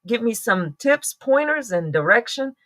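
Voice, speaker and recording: A woman's voice: a little high, big pitch swings, measured pace, fairly bright tone. A middle-aged woman talks her way through a casual morning livestream in a rambling, chatty manner. She greets viewers by name and breaks into laughter often. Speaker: livestream host.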